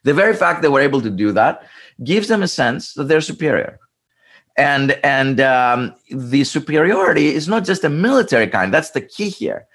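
A man's voice: mid-range voice, fairly bright tone. A middle-aged man explaining history in an interview, thinking aloud with frequent restarts and fillers. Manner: thoughtful, halting, many restarts and fillers. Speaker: interviewed scholar.

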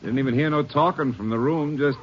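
An actor playing a folksy, stammering rooming-house landlord in a 1950s radio drama. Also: male voice, on the high side, fairly quick, natural voice. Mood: gossipy. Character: rambling small-town male landlord, folksy. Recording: dull old radio recording, faint hiss.

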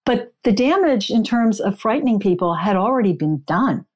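A woman guest retells and explains in an interview. Her mid-pitched voice is soft-edged and mellow. The delivery is conversational. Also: animated, normal speed.

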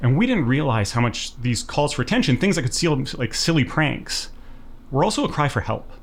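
A man's voice: mid-range voice, big pitch swings, fairly quick. A Canadian man in his thirties, a filmmaker talking in a reflective, earnest way with many fillers in a casual podcast interview. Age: 30s. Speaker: documentary filmmaker guest.

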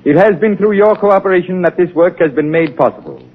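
An actor playing a learned, courteous archaeologist with formal diction in a 1930s radio drama. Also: male voice, sits high, a little fast, natural voice. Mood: formal, gracious. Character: learned, courteous expedition director, male doctor of archaeology. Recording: tinny, band-limited 1930s radio.

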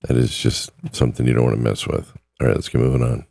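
A man's voice: extremely low pitch, level tone, creaky, mellow, no echo, studio quality. A man who is losing his voice, hosting a casual talk podcast in a chatty way. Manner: chatty, repeats numbers slowly. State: losing his voice, sore throat. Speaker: podcast host.

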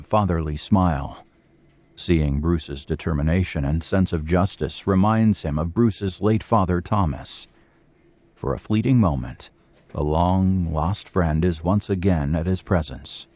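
A man's voice: low-pitched, measured pace, mellow, no room sound. A man narrating an audio drama from a script as its storyteller.

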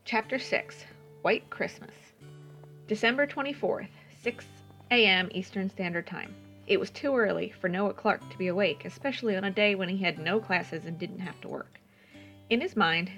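A woman's voice: mid-range voice, a bit bright. A woman reading a story aloud as a scripted audiobook-style narration. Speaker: guest story reader.

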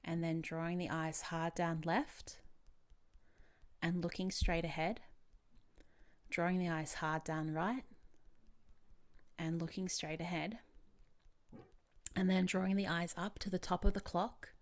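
A woman leading a guided tapping session as a therapist, giving gentle, measured step-by-step instructions with soothing pauses. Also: lowish voice, level tone.

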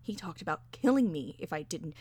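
Vengeful woman in a storybook tale, acted by a female narrator: low, hushed, breathy, grimly insistent. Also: animated, a little fast, dry recording. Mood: grim insistence. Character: exasperated, vengeful woman, grimly set on killing.

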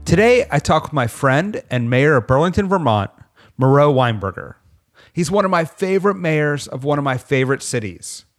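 A man co-hosting a podcast, reading a scripted intro and then chatting in a friendly, relaxed way. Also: fairly high pitch, normal speed, faint music behind. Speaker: podcast co-host.

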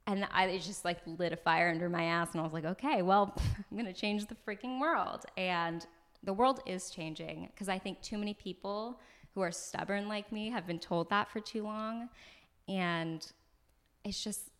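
A young American woman, an actress, chatting as a podcast guest in an animated way, laughing often and filling her talk with "like". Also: medium pitch, normal speed. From United States. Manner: animated, laughs often, talks in bursts with many "like"s. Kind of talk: casual podcast conversation. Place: floor of an apartment on moving day.

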